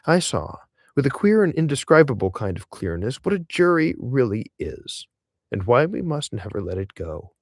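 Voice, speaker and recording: A man narrates a literary essay as if for an audiobook. His voice sits fairly low, and he reads with measured, deliberate emphasis. The recording is dry, with very little room sound. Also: dry recording. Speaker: reader of an essay aloud.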